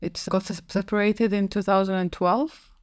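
A young woman from Sudan, a podcast guest explaining her moves abroad in a thoughtful, unhurried way. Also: medium pitch, fairly even intonation, dry recording, clean studio sound. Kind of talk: casual interview chat.

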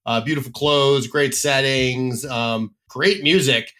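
A man's voice: medium pitch, steady tone, slow, sharp tone. A man co-hosting a casual film review chat, giving blunt and emphatic opinions. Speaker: film critic co-host.

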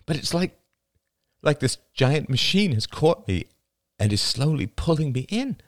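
A man voicing an anxious, defensive young woman in an audiobook, pleading with a partner in a halting, emotional manner. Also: fairly high pitch, sing-song, voice pushed up.

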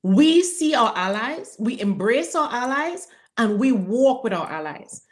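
A woman's voice: on the high side, very animated, unhurried. A woman moderating a panel discussion, speaking emphatically and repeating phrases for effect. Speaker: panel host.

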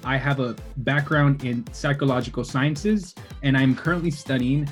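Relaxed young guy from oceanside, california, chatty podcast co-host, faint guitar music behind. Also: mid-range voice, normal speed. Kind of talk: casual podcast chat.

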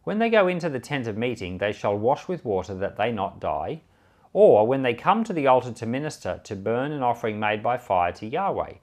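Man reading scripture with lively intonation. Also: medium pitch, fairly quick. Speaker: Bible reader and podcast host.

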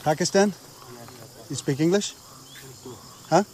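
A man doing on-the-ground reporting at night outside, firing off short blunt questions. His voice sits a little high. A faint hiss and insects chirp around him in the open air. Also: slow, darkish tone. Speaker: field reporter. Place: outdoors at night.

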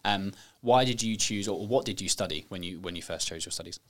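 Male podcast host, slightly low voice, easygoing questioning. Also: brisk. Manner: friendly, filler-laden questions. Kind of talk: casual interview questions.

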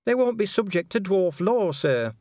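A man's voice: high-pitched, sing-song, normal speed, darkish tone, dry recording, clean studio sound, pitched above the performer's own voice. A man voicing an anxious young female dwarf in an audiobook, polite, earnest and deferential as she explains. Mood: worried, deferential. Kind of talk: audiobook character dialogue.

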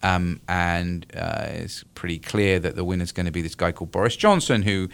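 A deep, smooth voice from a middle-aged man with a British accent. He answers as an academic guest on a radio talk show, working through his points with frequent ums and restarts and the odd chuckle. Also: normal speed. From United Kingdom. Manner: hesitant, many fillers and restarts, chuckling asides. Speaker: political science professor.

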